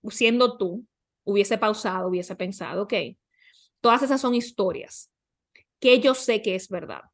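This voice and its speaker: A woman speaking as a podcast guest and coach, giving conversational advice and explaining through questions and examples. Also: mid-range voice.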